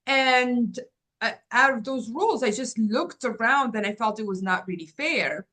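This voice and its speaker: A woman in her fifties, originally from Morocco, sharing her life story as a podcast guest. Her voice sits a little high, and she talks in an earnest, reflective way, pausing on "um" and "like" as she gathers her thoughts. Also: lively intonation, pushed and bright. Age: 50s. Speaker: guest, agency founder. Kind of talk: personal life story in an interview.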